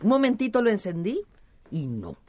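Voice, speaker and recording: A woman's voice: medium pitch, wildly swooping pitch, normal speed, darkish tone, no echo. An actress playing a plain-spoken, fed-up woman in a radio soap, speaking emphatically. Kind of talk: radio soap opera acting.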